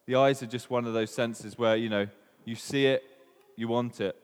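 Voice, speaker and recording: A man's voice: medium pitch, steady tone, moderate pace. A man with a Welsh accent giving an informal sermon, talking casually and putting questions to the congregation. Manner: chatty, asks the room questions, many ums. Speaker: preacher. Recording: long reverb of a hall.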